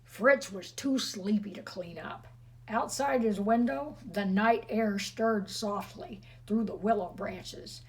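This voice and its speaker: Slow-paced woman reading a children's story. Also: mid-range voice, slightly rough. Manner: teasing, chatty questions to listeners. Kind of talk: expressive read-aloud with asides to young listeners.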